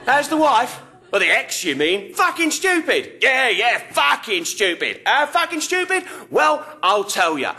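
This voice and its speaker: A man's voice: very high-pitched, big pitch swings, unhurried, sharp tone, full character voice. A man playing a foul-mouthed, gleefully offensive archbishop doing parody stand-up, manic and cackling, on a noisy recording. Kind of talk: parody club stand-up routine.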